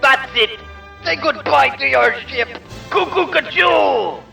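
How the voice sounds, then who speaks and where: A man voicing a gruff, shouty walrus submarine captain in a parody cartoon. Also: extremely high pitch, lively intonation, takes it slowly, heavily acted. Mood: threatening. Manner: shouty, threatening. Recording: loud music behind.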